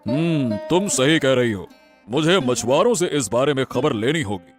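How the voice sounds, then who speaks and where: A man playing a concerned, authoritative king in a Hindi story drama, with an expressive, deliberate delivery. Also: medium pitch, natural voice. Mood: concerned, soothing. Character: concerned, authoritative king reassuring his queen. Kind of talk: Hindi moral-story audio drama acting. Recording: music behind the voice.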